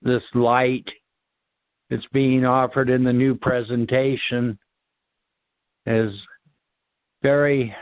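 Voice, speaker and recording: Slow, halting man on a narrow-band line. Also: mid-range voice, little pitch movement, smooth, no room sound. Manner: halting, searching for words, long pauses. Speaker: discussion participant. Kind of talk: unscripted spiritual reflection.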